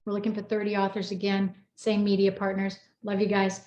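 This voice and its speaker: A woman's voice: medium pitch, completely flat delivery, brisk, darkish tone. A woman hosting a casual interview in a chatty, informal, rambling way. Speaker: host. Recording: roomy echo, music behind voice.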